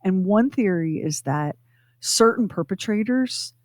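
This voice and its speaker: A woman radio host speaks at a medium pitch in a close, dry studio sound. Her talk is conversational, with emphatic and deliberate phrasing as she lays out her points. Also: lively intonation, darkish tone, dry recording. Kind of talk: casual conversational podcast talk.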